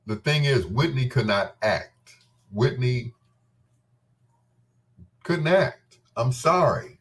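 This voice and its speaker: A man's voice: medium pitch, lively intonation, slow pace. A man hosting a talk show with a casual, unscripted monologue, gossipy and emphatic, often repeating his phrases. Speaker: host of a bedtime talk show.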